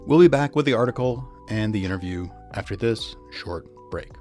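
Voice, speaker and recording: A man reading scripted true crime podcast narration as host, in a measured, emphatic way. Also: on the low side, lively intonation. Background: music.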